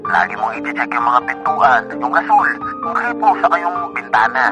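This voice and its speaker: Actor as a caring Filipino boyfriend, music behind. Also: male voice, mid-range voice, very animated, moderate pace, natural voice. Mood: caring, reassuring. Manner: reassuring, considerate. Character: caring overseas-worker boyfriend, steady, reassuring, responsible. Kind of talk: Filipino radio drama acting. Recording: studio drama over clear background music.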